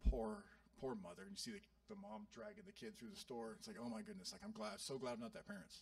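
A male pastor in his thirties giving an animated, joking sermon to his congregation. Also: high-pitched, quick pace, creaky, no echo, distant mic. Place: church sanctuary.

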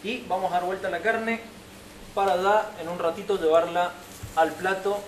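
A man's voice: high voice, fairly even intonation, moderate pace. A man in his thirties with an Argentine accent, explaining steps as a chef in a cooking demonstration. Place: winery kitchen and open-air grill patio. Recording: roomy, distant mic, music behind.

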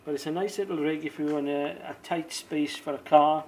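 A man giving a casual hands-on demonstration in an unhurried, plain-spoken way. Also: a little high, fairly even intonation, darkish tone, a little hiss or hum. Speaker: presenter of a radio demonstration video. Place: small indoor room or shed.

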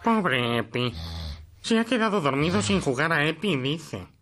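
A man's voice: fairly high pitch, very animated, unhurried, fairly bright tone, faint music behind. A man playing a weary, well-meaning puppet friend in a children's show, earnest and sighing. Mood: sympathetic.

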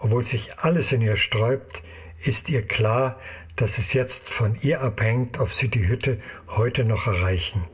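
A man's voice: mid-range voice, a little slow, husky. A man narrating an audio drama in a scripted storytelling style.

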